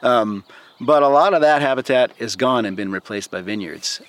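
A man's voice: mid-range voice, expressive, normal speed. A man who works as a professor and field researcher, explaining things informally in an interview.

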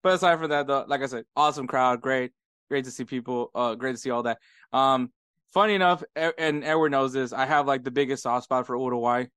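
American man, podcast co-host, animated storyteller, clear voice, dry room. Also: mid-range voice, fairly quick, no room sound. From United States. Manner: laughing often, animated storytelling. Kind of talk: casual chat.

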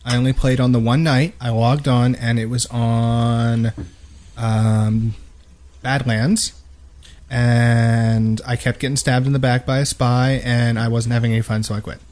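A man with a mid-pitched voice hosts a casual gaming podcast. He rambles in a self-deprecating way, speaking close to a boom-mounted microphone in his home studio. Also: little pitch movement, a little slow, a little mellow. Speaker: podcast host. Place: home studio with unpadded walls. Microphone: boom-mounted microphone close in front of mouth.

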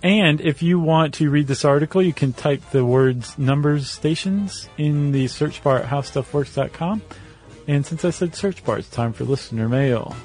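A man's voice: on the high side, moderate pace, darkish tone, soft background music, low-quality recording. An American man co-hosting a podcast, explaining things in a casual, conversational way. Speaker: podcast co-host.

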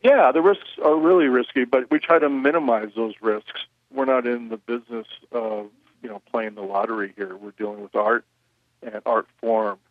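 A man who runs a record label, answering interview questions in a measured way, with frequent "you know" fillers. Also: mid-range voice, no echo, phone-quality sound.

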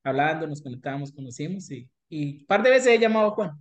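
Higher-voiced Colombian man, earnest guest. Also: animated, quick pace. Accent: Colombian, paisa. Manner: earnest, encouraging. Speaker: podcast guest, small business owner. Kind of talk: casual interview talk.

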